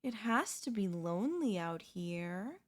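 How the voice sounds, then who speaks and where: A woman performs a female zombie in an audio roleplay, chatty and falsely friendly. She coaxes the listener at an unhurried pace, wheedling and catching herself in small slips. Her pitch sits in a middle female range. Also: very animated. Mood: pushy, wheedling. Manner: wheedling, conversational, with hesitant slips and self-corrections.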